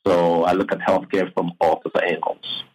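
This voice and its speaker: A middle-aged man from Ghana, a neurosurgeon speaking as a radio guest. His voice is lowish and husky, and he talks in a calm, matter-of-fact way. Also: normal speed, poor audio. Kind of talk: radio interview.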